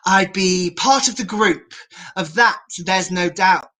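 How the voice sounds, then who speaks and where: Bright-voiced, slow-paced woman storyteller. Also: lowish voice. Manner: animated, encouraging, theatrical, with gasps. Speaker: children's storyteller. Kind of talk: expressive picture-book reading aloud to children.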